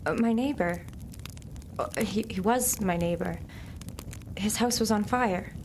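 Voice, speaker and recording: An actress playing a shaken, evasive woman under questioning in an audio drama, speaking in halting, short answers. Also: medium pitch, close to an everyday voice. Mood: haunted, reluctant. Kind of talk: naturalistic audio drama acting. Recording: clear background noise.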